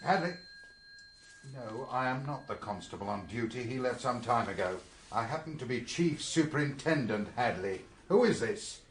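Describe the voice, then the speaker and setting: Male actor for brisk British police chief, radio drama: lively intonation, sceptical, faint background ambience. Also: mid-range voice, slight background noise, natural voice. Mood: official, surprised. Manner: brisk, questioning, official. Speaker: police superintendent character. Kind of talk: BBC radio drama acting.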